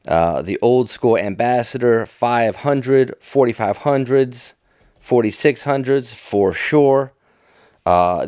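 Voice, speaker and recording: A man's voice: medium pitch, darkish tone, dry recording, clean studio sound. A middle-aged American man giving casual, off-the-cuff commentary in a folksy, enthusiastic, nostalgic manner. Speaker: fishing tackle vlogger.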